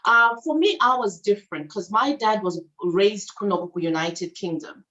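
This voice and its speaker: Zimbabwean woman guest, young adult, candid, easy mid pitch; casual interview read. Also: moderate pace, sharp tone, muffled recording. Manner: candid, code-switches into Shona. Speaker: guest, children's language channel creator. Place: England.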